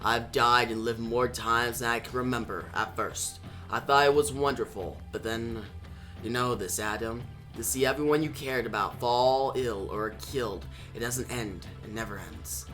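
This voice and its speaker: A man's voice: medium pitch, normal speed, a bit bright, soft background music, close to an everyday voice. A man playing a weary immortal who longs for death, subdued and anguished, in a comic book story. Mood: weary, grieving.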